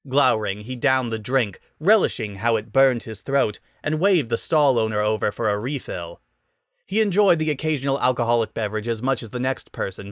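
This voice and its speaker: A man with a mid-pitched voice narrates a story dramatically. His delivery is expressive and wry, clearly scripted, and the sound is very dry with no room around it. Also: no room sound.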